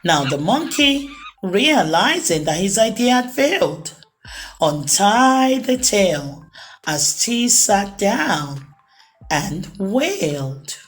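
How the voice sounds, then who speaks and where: A woman reading aloud to children as a storytime host, in an expressive storybook narration style. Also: mid-range voice, slow, faint music behind.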